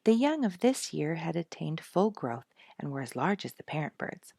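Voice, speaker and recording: A woman's voice: deep voice, very animated, normal speed, gravelly, dark tone, no echo, studio quality. A woman storyteller reading a scripted nature narrative aloud.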